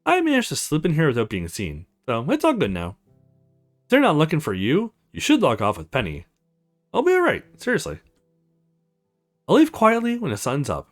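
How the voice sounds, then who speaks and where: A man voicing a kind, self-effacing young witch woman in a visual novel game, softly reassuring. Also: a little high, wildly swooping pitch, normal speed, pauses often, faint music behind.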